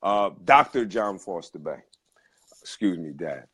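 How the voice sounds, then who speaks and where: A man's voice: low-pitched, lively intonation, measured pace, fairly bright tone, slightly rough. A man hosting a casual live talk show from his phone, rambling with many fillers and restarts. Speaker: host.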